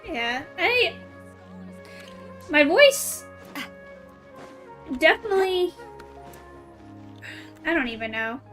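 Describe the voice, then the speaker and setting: Chatty high-voiced woman gamer. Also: big pitch swings, very slow, long pauses, a bit bright, faint music behind. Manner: rambling, chatty, self-conscious. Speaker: streamer. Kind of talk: casual let's play commentary.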